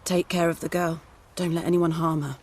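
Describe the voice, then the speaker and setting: A woman's voice: on the low side, level tone, brisk, a little mellow, lightly acted. An actress voicing an earnest, protective woman ally in a video game. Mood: earnest, concerned. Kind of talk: dramatic video game voice acting.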